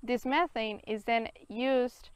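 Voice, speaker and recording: Young woman, smooth narration voice. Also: a little high, steady tone, no room sound. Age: young adult. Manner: clear, measured reading. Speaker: student presenter. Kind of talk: scripted video narration.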